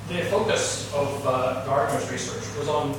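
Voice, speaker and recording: This middle-aged man from Canada lectures slowly and haltingly, filling gaps with ums and restarting his sentences. He sounds far from the recorder in a large, very echoey hall, with clear background noise. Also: medium pitch. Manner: halting, many fillers and restarts. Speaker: guest lecturer. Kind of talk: academic lecture.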